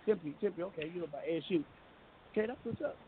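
Fast-talking high-voiced man on a phone line. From US West Coast. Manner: fast, joking, talks over the caller. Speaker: radio show host. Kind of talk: call-in talk radio chat. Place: home studio in the Southwest. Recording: phone-line audio with faint hiss.

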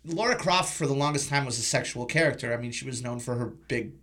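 A man's voice: medium pitch, fast talker, fairly bright tone. A man hosting a casual film discussion, talking in an informal, blunt way. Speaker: podcast host.

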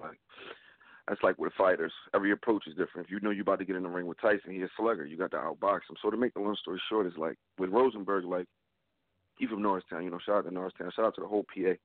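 A husky, very deep-voiced man talks fast in emphatic street slang as a radio guest. He comes through a tinny, phone-like line with a dry, close sound. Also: dry recording. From Philadelphia. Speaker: guest battle rapper. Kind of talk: casual radio interview.

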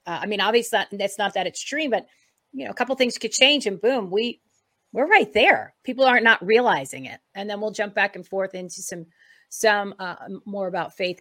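A woman's voice: mid-range voice, fairly bright tone. Rambling woman guest host. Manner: rambling, restarting questions. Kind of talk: casual interview chat.

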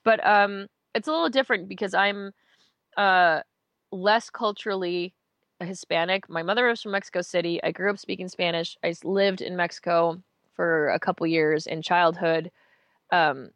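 A woman voice actor from the United States, chatting casually in an interview with quick, self-mocking asides. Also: fairly low pitch, fairly even intonation, fairly bright tone, no room sound. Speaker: voice actor guest.

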